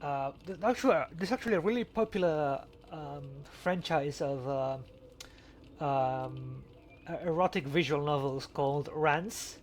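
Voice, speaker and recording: A man co-hosting a casual chat, talking haltingly and often repeating words as he goes. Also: a little high, a little hiss or hum. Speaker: podcast co-host. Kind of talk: casual chat about video games.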